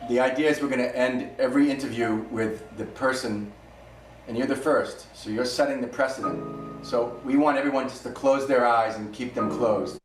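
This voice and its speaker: A man briskly coaching an interviewee during a film shoot, giving directions. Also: medium pitch, fairly even intonation, soft background music. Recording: distant, roomy, faint background noise.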